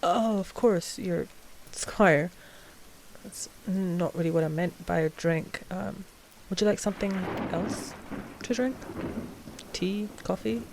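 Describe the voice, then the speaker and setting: Casting: actress as female vampire sire for audio roleplay. Courteous, flustered, formal, slightly low voice over background noise. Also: gravelly. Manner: formal, hesitant, halting. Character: frazzled female vampire coven sire, polite and hesitant. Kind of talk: scripted audio roleplay drama.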